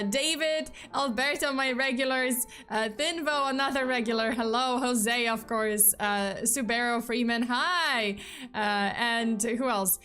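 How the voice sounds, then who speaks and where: A young woman with a fairly high voice chats with her live-stream viewers in a loose, giggly way. She speaks with plenty of fillers and false starts. Soft music plays quietly behind her. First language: Polish. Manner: chatty, giggly, many fillers and restarts. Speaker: VTuber streamer.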